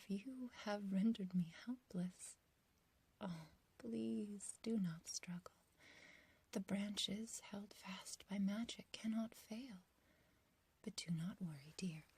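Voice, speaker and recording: A woman's voice: mid-range voice, a little mellow, exaggerated voice. A woman playing an ancient but youthful witch in a whispered ASMR roleplay, obsessively loving and possessive, with archaic, intimate phrasing. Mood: tender, yearning. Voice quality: breathy, whispery.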